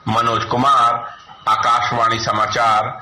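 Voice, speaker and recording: A man's voice: mid-range voice, flat delivery, takes it slowly, bright, forceful tone. A man filing a scripted radio news report as a correspondent, in a formal reporting manner. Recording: echoey room, faint background noise.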